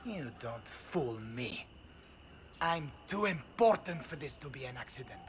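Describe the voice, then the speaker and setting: Brief: an arrogant captured noble, defiant and haughty. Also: male voice, mid-range voice, very animated, measured pace, a little hiss or hum. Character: arrogant captured noble agent, self-important.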